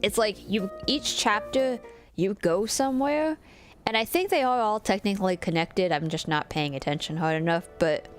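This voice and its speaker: Woman rambling casually over loud game music. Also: mid-range voice. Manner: rambling, teasing, expressive. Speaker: streamer. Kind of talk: casual game livestream commentary. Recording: music behind the voice. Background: game music and character voices.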